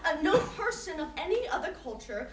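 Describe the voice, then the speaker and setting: In an echoey room, a woman plays a thoughtful visiting artist from China giving a critical talk on stage. Her voice is high and she speaks slowly and deliberately, picked up from far off. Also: very animated, slight background noise. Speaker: interviewed artist. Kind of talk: staged play reading, mock artist talk.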